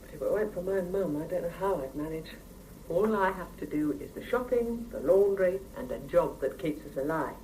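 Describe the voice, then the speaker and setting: A woman's voice: fairly low pitch, lively intonation, a little fast, mellow, far from the mic, slight background noise, close to an everyday voice, rough recording. An actress in a 1970s radio drama playing a blunt, world-weary Soviet single mother, on an old cassette recording. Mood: bitter, resigned.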